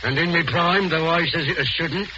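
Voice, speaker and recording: A man's voice: fairly high pitch, moderate pace, lightly acted. Guy doing cockney bruiser chauffeur, protective, plain talker, 40s radio play, dull hissy recording. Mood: sturdy, dutiful. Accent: London Cockney. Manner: deferential, plain-spoken. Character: loyal Cockney ex-wrestler chauffeur, boastful and protective. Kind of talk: 1940s radio acting. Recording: noisy, muffled old radio broadcast.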